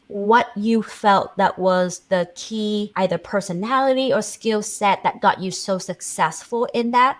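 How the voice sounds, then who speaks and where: A woman from Vietnam hosting a podcast interview, introducing her guest with upbeat energy and asking questions. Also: fairly low pitch. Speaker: podcast host.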